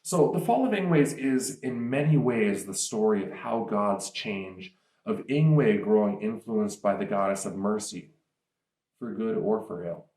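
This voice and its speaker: A man's voice: lowish voice, unhurried, mellow. A man giving casual author commentary on his fantasy world, explaining ideas with frequent ums. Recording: roomy echo.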